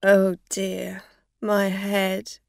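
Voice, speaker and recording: A woman's voice: mid-range voice, monotone, drawn-out words, creaky, a little mellow, no room sound, studio quality. A woman voicing a tipsy, frightened woman driver in an audio story, hesitant, stammering and pleading. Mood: tipsy, amused. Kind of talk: acted dialogue in an audio story.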